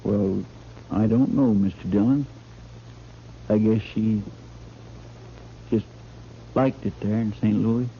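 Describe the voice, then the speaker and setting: An actor playing a deferential, simple frontier sidekick, plain-spoken and hesitant, in 1950s radio western style. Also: male voice, lowish voice, steady tone, takes it slowly, gravelly, pauses often. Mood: wistful, hesitant. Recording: muffled old radio recording, noisy.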